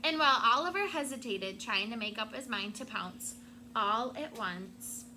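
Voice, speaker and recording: A fairly high-voiced woman teacher reads a storybook aloud to her class, expressive and lively in the telling, pausing to explain words along the way. The recording carries steady classroom noise behind her. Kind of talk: reading a picture book aloud to a class.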